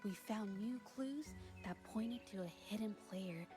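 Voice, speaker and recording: A woman's voice: mid-range voice, measured pace, close to an everyday voice. A woman voicing an earnest, observant young investigator in a video game, explaining things carefully. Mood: thoughtful, earnest. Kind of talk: scripted video game voice acting. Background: game music.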